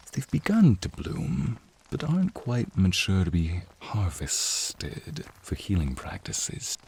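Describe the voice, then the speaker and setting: A man performs a serpentine fantasy prince with a low, husky, breathy voice. His courtly phrases are drawn out very slowly and rise and fall expressively. He is suave and protective, in the intimate style of an ASMR roleplay. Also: a little mellow, a little hiss or hum, full character voice. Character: flirty serpentine naga prince, suave, protective, wistful beneath. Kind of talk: ASMR roleplay acting addressed to the listener.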